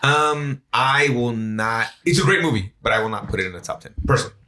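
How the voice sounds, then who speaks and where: A man's voice: mid-range voice, fairly quick, background noise. A man co-hosting a podcast in casual chat, joking, swearing and laughing often. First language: Spanish. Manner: laughing, profane, lively banter. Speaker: podcast co-host.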